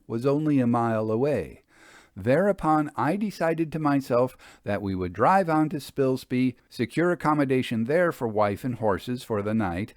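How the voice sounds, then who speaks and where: Storybook role: genial, wistful Victorian gentleman traveler , played by a man, mid-pitched, formal and theatrically droll. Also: no room sound, studio quality. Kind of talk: dramatic first-person storybook reading.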